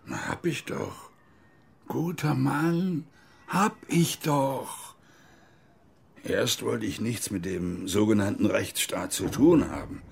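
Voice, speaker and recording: An actor in a radio drama playing a bitter, stubborn old former army major, halting and agitated, with a gravelly, husky voice. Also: male voice, medium pitch, full character voice. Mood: weary, defensive. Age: older. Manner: halting, agitated, self-reproaching, with outbursts. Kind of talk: German radio crime drama acting, interview monologue.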